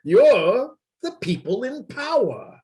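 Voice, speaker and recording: A man's voice: very high voice, big pitch swings, slow pace, a little mellow, full character voice. A man playing a bitter, scornful anarchist poet in a declamatory amateur radio play reading. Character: bitter red-haired anarchist poet, accusing and destructive. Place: remote reading over a video call.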